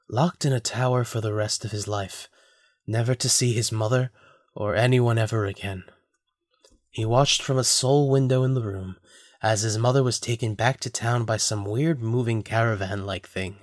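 A man serves as narrator for a scripted audio drama. His voice sits at a medium pitch, and the sound is dry, with no room echo. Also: normal speed.

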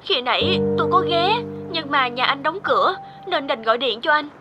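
A woman's voice: high voice, animated, normal speed. A woman voicing a polite, concerned schoolteacher in a Vietnamese cartoon, through a telephone filter. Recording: dull phone-call sound over music.

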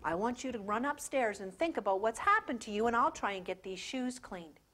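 A woman's voice: a little high, very animated, a little fast, bright, forceful tone, heavily acted. Firm storybook mother, scolding but caring, read by a woman narrator.

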